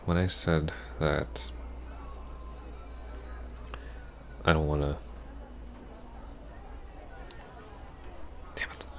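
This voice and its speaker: Very deep, halting heartbroken ex-boyfriend acted by a man. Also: little pitch movement, slight background noise. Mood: hesitant, apologetic. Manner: halting, stammering, soft-spoken. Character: heartbroken young ex-boyfriend, hesitant and apologetic. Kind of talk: intimate ASMR roleplay acting.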